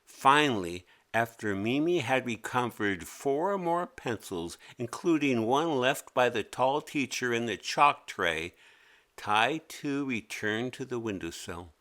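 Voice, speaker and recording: A man narrating a children's story as a read-aloud, expressive and deliberate. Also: mid-range voice.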